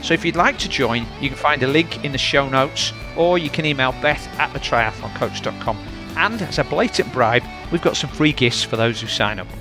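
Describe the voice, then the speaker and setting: A man's voice: medium pitch, normal speed, over music. A man with a Yorkshire accent hosting a fitness podcast, chatty and friendly, interviewing a guest. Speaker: podcast host.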